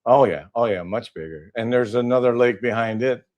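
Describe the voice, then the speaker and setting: A man's voice: mid-range voice, brisk, darkish tone, dull audio. An older man from Southern California, a guest in a casual interview, talking with many fillers and restarts.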